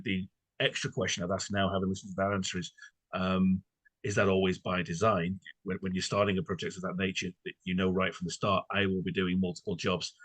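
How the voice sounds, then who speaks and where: A man's voice: low-pitched, a bit bright, no echo, rough recording. A man hosting a conversational interview, asking wordy, tentative questions in an appreciative way. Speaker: podcast host, interviewer.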